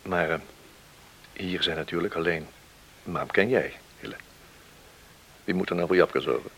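An authoritative village doctor in a radio play. His voice is low and forceful, his manner measured and reassuring, over faint hiss. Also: low-quality recording. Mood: grave, reassuring. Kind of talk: Dutch radio drama acting. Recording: hissy old radio drama recording.

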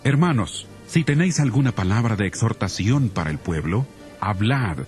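An actor playing a dignified, courteous synagogue official in a dramatized audio Bible. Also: male voice, lowish voice, expressive, moderate pace, darkish tone, natural voice. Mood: courteous, formal. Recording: music under the voice.

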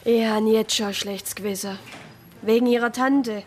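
A woman's voice: on the high side, fairly even intonation, unhurried, a little mellow, slight background noise, close to an everyday voice. An actress playing an earnest, caring church sister with a Swabian accent, telling her story plainly and reflectively in a radio drama. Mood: reflective, wistful. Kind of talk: radio drama first-person narration and dialogue.

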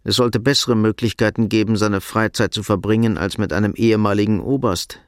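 A man's voice: fairly low pitch, fairly even intonation, fairly quick, smooth, no echo, clean studio sound. A man reading scripted literary narration for a radio drama.

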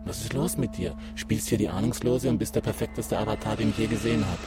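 A man's voice: fairly low pitch, fast, natural voice. An actor playing a cool, flirtatious male gamer in a radio drama, with casual, slangy delivery. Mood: flirtatious, insistent. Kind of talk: scripted radio drama acting. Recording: music behind the voice.

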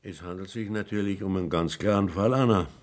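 A man plays a cautious village priest. His voice is very deep, and he speaks in a measured, formal way while he evades every demand. It is a role in a radio play. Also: lightly acted. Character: cautious middle-aged male village priest, measured and evasive.

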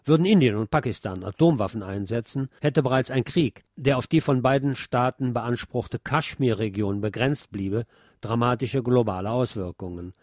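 Guy reading a political commentary script, normal pitch, dry studio-like sound. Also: normal speed, a little mellow, no room sound.

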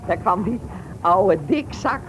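An actress playing a vindictive, rich old noblewoman in a Dutch radio play, imperious, halting and emphatic. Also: medium pitch, rough recording. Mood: scornful, gloating.

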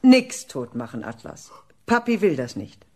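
A woman's voice: low-pitched, big pitch swings, measured pace. An actress playing a cold-blooded daddy's girl villain in a radio crime drama, commanding and sweetly cooing. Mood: mock regretful.

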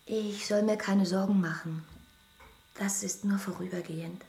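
An actress in a German radio drama playing an anxious, guarded wife who is quietly suspicious of her husband. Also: mid-range voice, steady tone, moderate pace, roomy sound, a little hiss or hum, natural voice. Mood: subdued.

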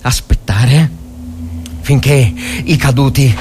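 An actor playing a bitter, anguished Italian officer of the First World War in a dramatic monologue, halting and repeating words. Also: male voice, mid-range voice, over music, natural voice. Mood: grave. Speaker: actor reading a short story. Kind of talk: dramatic literary monologue, radio reading.

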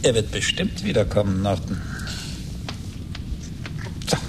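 Smug, teasing host, lively pitch. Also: male voice, a little slow, noisy background. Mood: smug, taunting. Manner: mock-friendly, taunting. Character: smug, teasing middle-aged male host, playfully menacing. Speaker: actor in a radio play. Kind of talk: 1980s German radio drama acting.

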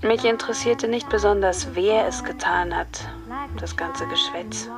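A woman's voice: mid-range voice, lively intonation, unhurried, over music, natural voice. An actress playing a grieving, numb teenage girl in a radio drama monologue, with a subdued, detached manner. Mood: numb grief. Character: grieving, numb teenage girl recalling her dead friend.

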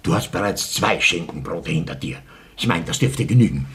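A stubborn, blustering small-town husband in a radio drama. His low voice swings emphatically as he bosses people about, over faint noise. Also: animated, normal speed, a bit bright. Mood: bossy, irritated. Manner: gruff, bossy, emphatic. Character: domineering, stubborn, blustering husband and local politician. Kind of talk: radio play acting.

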